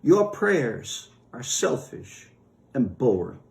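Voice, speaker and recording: A man's voice: medium pitch, sing-song, slow, gravelly, darkish tone, pitched below the performer's own voice, exaggerated voice. A man reading a story as a blunt, self-absorbed God who lectures dismissively. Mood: bluntly dismissive. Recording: roomy echo.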